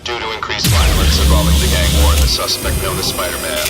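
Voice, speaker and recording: An actor voicing a police task force announcer, making a clipped official announcement in a game cutscene. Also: male voice, deep voice, fast talker, distant mic, loud music behind the voice, natural voice.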